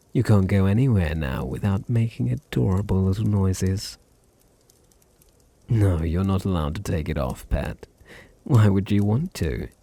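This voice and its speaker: Man acting a deep, gravelly British vampire master. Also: full character voice. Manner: slow, purring, condescending, softly teasing. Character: possessive, teasing, dominant aristocratic male vampire master. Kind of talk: intimate ASMR roleplay.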